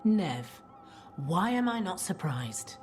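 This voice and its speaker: A woman playing a brisk, duty-minded templar investigator in a fantasy game, curt and matter-of-fact. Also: lowish voice, very animated, a little mellow. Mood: curt, concerned. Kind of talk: cinematic fantasy game dialogue. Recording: music behind the voice.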